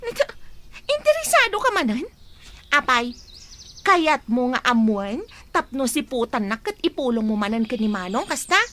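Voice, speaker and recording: A woman's voice: high voice, sing-song, moderate pace, pushed and bright, heavily acted. A woman playing a defiant, irritable young female student in an Ilocano radio drama. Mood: irritated, defiant. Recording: radio drama with music bed.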